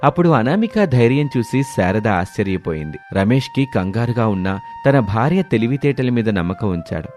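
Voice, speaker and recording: A man's voice: mid-range voice, sing-song, fairly quick, a little mellow. A man giving scripted narration for a moral storybook tale. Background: background music.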